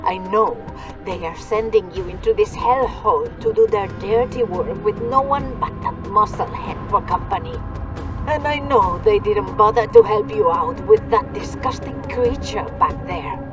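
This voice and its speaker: A woman's voice: mid-range voice, normal speed, music in the background, natural voice. A woman playing a taunting, condescending mercenary woman in a video game, with a teasing manner. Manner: teasing, condescending, peppers speech with endearments. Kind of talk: video game cutscene acting.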